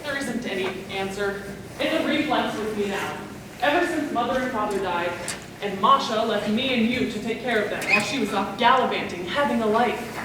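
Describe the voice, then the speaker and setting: A woman's voice: fairly high pitch, moderate pace, close to an everyday voice. Young woman playing touchy sister, very echoey. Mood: self-pitying. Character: touchy, self-pitying unmarried sister of fifty-two. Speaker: actor in a school play. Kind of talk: live amateur stage comedy acting. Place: large indoor stage in an auditorium. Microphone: distant camera microphone. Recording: echoey auditorium from far off, noisy.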